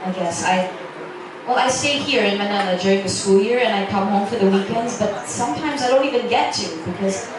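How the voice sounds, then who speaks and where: A woman playing an earnest, wistful young medical student in a halting, sincere dramatic reading. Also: mid-range voice, a crowd in the background, natural voice. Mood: hesitant, subdued. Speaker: reader at a literary festival. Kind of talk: dramatic reading of a romance novel's dialogue. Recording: echoey, noisy room from far off.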